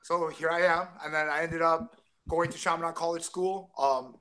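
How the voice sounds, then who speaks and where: Man from Taiwan, forties, bright voice, slightly high, rambling, video call from home. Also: steady tone, normal speed. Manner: rambling, many restarts and fillers. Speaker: guest, former fighter. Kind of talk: casual interview storytelling. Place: home room with framed jersey. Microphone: video call webcam close up.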